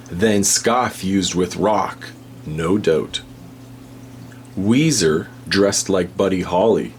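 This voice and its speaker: A man reading a children's picture book aloud as a storybook reader, with deliberate, measured phrasing. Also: sits low. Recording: hum and faint background noise.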